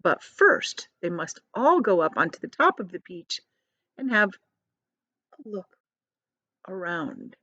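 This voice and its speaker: A woman's voice: mid-range voice, sing-song, takes it slowly, long pauses, bright, forceful tone, dry recording, dull audio. A woman reading a story aloud to children like a teacher, expressively, with simple explanatory asides.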